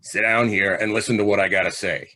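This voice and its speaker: Man playing a low-voiced, hard-bitten condemned gangster. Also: fairly even intonation, brisk, bright, forceful tone, low-quality recording. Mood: bitter, commanding. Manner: tough, slangy, clipped commands. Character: hard, scheming condemned gangster, vengeful manipulative older brother. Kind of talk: old-time radio drama acting.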